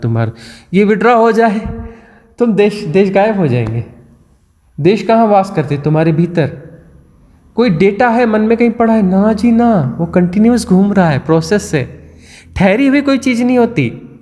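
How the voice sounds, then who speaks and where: A man's voice: a little high, darkish tone, in a large hall. Man giving a spiritual talk. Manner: repetitive, mimics inner self-talk, rhetorical questions. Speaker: spiritual teacher. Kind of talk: satsang discourse.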